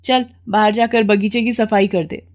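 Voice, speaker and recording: Woman acting a bossy rich mistress, raised pitch. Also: fairly even intonation, moderate pace, a little mellow, lightly acted. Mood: scornful, bossy. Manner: bossy, scolding, commanding. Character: haughty rich female employer, bossy, sharp-tongued.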